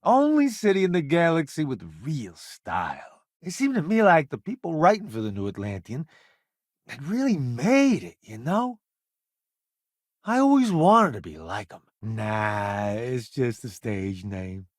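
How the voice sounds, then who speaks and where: A man's voice: medium pitch, huge pitch swings, a little slow, dry recording, clean studio sound. An actor playing a jaded, showy middle-aged food critic turned hired space crewman in a video game, with wry, flippant, slangy delivery. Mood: wry, opinionated. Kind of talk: video game companion dialogue.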